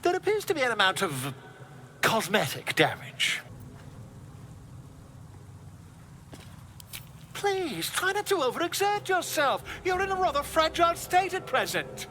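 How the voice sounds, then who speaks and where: Super high swoopy game bard, eccentric and cocky, theatrical, bright voice. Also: male voice, huge pitch swings, moderate pace, a little hiss or hum, heavily acted. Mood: sheepish, solicitous. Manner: theatrical, flowery speech. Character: eccentric, bungling male bard playing surgeon, blithely overconfident. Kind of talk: video game character acting.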